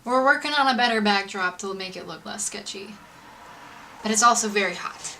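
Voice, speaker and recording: Bright, chatty young American woman, slightly distant mic. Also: mid-range voice, moderate pace. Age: young adult. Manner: chatty, informal, peppered with "like". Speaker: vlogger and singer-songwriter. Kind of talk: casual personal storytelling to camera. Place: indoors, makeshift home filming setup. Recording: distant mic, roomy home video, background noise.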